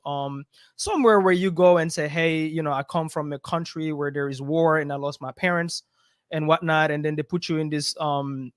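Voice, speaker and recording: A man who grew up in Belgium talks as a guest in a casual interview, soft-spoken and quick to laugh, often letting his sentences trail off. His voice sits a little high for a man. The close studio microphone leaves it dry. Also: no room sound. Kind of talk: casual interview over a video call.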